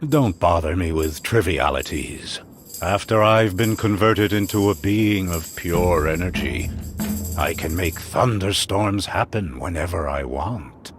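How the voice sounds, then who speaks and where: Slow, deep, deluded mystic. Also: male voice. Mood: pompous. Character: eccentric asylum inmate, pompous deluded would-be mystic. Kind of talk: adventure game character acting. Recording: loud music under the voice.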